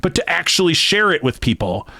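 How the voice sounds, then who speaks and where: A man hosting a sports betting channel, talking alone to his viewers in a rambling, earnest, conversational way that swings between emphatic bursts and hushed asides. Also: fairly high pitch, very animated, a little fast, vocal fry, no echo. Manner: long-winded. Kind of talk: reflective solo monologue to camera.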